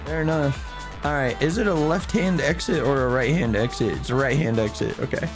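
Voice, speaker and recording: A hoarse man who has nearly lost his voice, chatting casually with viewers and a teammate while livestreaming a game. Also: mid-range voice, a little fast, over music. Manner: chatty, greets viewers by name. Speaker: streamer playing a driving sim. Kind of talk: casual live-stream chat.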